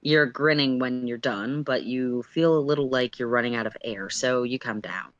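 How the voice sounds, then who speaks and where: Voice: mid-range voice, moderate pace, no echo, highs cut off. A narrator reading a story aloud on a casual livestream, with playful, self-deprecating asides.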